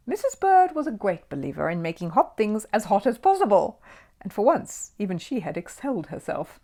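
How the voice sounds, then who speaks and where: A woman narrates a children's storybook as an audiobook reader. Her medium-pitched voice moves with plenty of melody, and she tells the tale expressively, shaping each scripted sentence for young listeners. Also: a little mellow.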